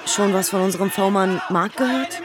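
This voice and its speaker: Brisk, insistent actress as woman police detective. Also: medium pitch, a loud crowd, heavy background noise, natural voice. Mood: earnest. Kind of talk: naturalistic radio crime drama acting.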